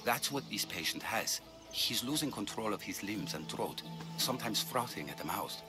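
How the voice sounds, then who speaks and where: An actor playing an earnest, weary older physician in a video game, grave and compassionate with a dry wit. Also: male voice, on the low side, expressive, normal speed, lightly acted. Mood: grave, concerned. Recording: music behind the voice.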